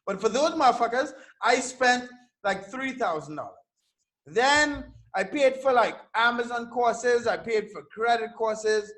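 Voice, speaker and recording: High-pitched man from Trinidad and Tobago. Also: measured pace. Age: 30s. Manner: animated, swears casually, repeats words. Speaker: guest entrepreneur. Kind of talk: casual interview storytelling.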